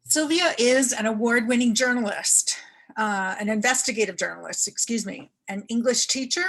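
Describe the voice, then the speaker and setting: A woman host formally introducing a guest speaker on an online lecture, somewhat halting with fillers. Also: fairly high pitch, fairly bright tone, poor audio.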